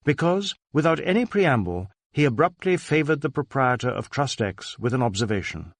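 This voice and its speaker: A man's voice: mid-range voice, big pitch swings, normal speed, no room sound, clean studio sound. A man reading a literary crime story as a scripted narration. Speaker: audiobook narrator.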